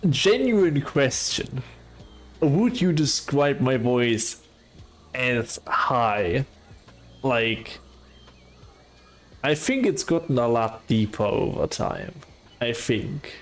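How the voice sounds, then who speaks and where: A male livestreamer with a middling voice who talks slowly and unhurriedly. His tone stays soft and calm as he chats with his audience, trailing off into fillers while he thinks. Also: medium pitch. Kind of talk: casual chat with viewers.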